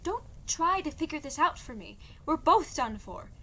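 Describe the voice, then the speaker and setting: A woman's voice: high-pitched, sing-song, moderate pace, bright, forceful tone, a little hiss or hum, heavily acted. A woman playing a guarded, exasperated young princess in an audio drama, with a blunt, dry, doubtful way of talking. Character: skeptical, exasperated young princess fleeing arranged marriage, guarded. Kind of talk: scripted audio drama acting.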